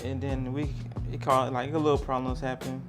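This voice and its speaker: A man in his thirties from Florida, a rapper telling his story in a relaxed, chatty interview and laughing easily. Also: medium pitch, moderate pace. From Jacksonville, Florida. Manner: laid-back, laughing easily, loose storytelling. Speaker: rapper, showcase guest. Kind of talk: casual interview chat. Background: music.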